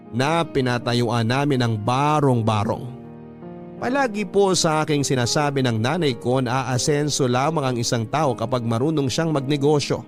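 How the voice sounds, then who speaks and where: Man, mid-pitched voice, scripted letter narration, radio storyteller, clear music bed. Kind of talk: scripted first-person narration. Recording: prominent music bed behind the voice.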